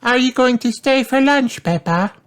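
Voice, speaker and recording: Man squeaking as a very high little girl bear. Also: lively intonation, normal speed, a bit bright, clear, resonant tone. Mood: friendly, excited. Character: Belinda, excited friendly little girl bear. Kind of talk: children's storybook character voice.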